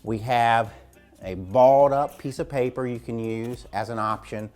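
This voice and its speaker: A man's voice: medium pitch, fairly even intonation, measured pace, a little mellow, soft background music. A man speaking as a teacher and host, explaining an activity to students in an instructional video. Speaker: physical education teacher hosting a lesson video.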